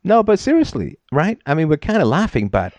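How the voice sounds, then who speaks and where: A man's voice: fairly high pitch, big pitch swings, brisk, darkish tone, no room sound. A man raised in Britain hosting a call-in advice show, talking at length and tagging his points with "right?". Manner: talkative, storytelling, frequent "right?" tags.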